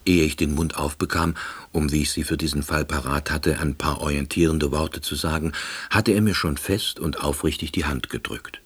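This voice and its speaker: A man's voice: extremely low pitch, steady tone, normal speed. An actor playing a wry, middle-aged former official, telling his own story in dry, wordy scripted narration. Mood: deadpan, wry. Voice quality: husky. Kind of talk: scripted first-person narration.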